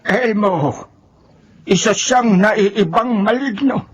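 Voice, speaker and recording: An actor playing a forceful, commanding folk healer who battles evil spirits in a Filipino radio horror drama, with emphatic delivery and a Filipino accent. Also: male voice, high-pitched, slow pace, slightly rough, exaggerated voice. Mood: grave, explaining.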